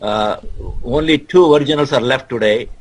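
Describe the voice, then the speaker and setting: A man speaking as a guest scholar in a debate, explaining his case in a halting but insistent way. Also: medium pitch, slight background noise.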